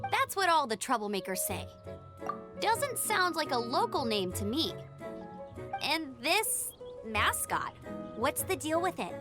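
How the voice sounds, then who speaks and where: A woman playing a plucky young female knight scout in a video game, brisk, earnest and a little formal. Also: high voice, sing-song, music in the background. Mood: suspicious, challenging.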